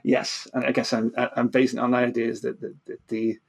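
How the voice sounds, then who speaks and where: A man's voice: medium pitch, completely flat delivery, fairly quick, gravelly, breathy edge. A man with a British accent explains ideas informally as an interview guest, often repeating words and restarting his sentences. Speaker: podcast guest, physicist and author.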